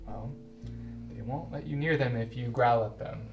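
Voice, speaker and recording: An actor playing a patient male counselor in a comedy web series, gently coaxing and instructing. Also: mid-range voice, moderate pace, echoey room, lo-fi sound, natural voice. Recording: distant mic with music behind.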